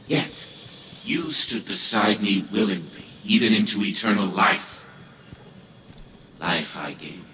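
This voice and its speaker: An actor playing a proud, cold old patriarch turned giant AI in a video game, pompous, theatrical and condescending. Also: male voice, on the low side, measured pace, pauses often, background noise, close to an everyday voice. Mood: scornful. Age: older. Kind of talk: dramatic video game acting.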